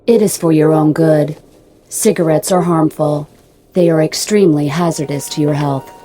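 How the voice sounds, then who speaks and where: Woman acting low, mellow, impassive ship computer. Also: steady tone, close to an everyday voice. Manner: formal, measured, impassive. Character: polite, literal-minded, unfeeling female spaceship computer. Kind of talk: radio drama dialogue.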